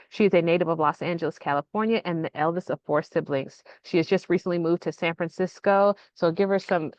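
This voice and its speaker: A woman's voice: lowish voice, no room sound. A woman hosting a webinar, welcoming a guest and reading her bio aloud. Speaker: host. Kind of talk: introducing a guest. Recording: dull, dry lo-fi sound.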